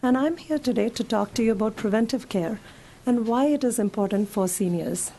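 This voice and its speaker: Hoarse, gravelly woman physician. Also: fairly high pitch, fairly quick, mellow, slight background noise. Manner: conversational, talks the audience through slides. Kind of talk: public health talk.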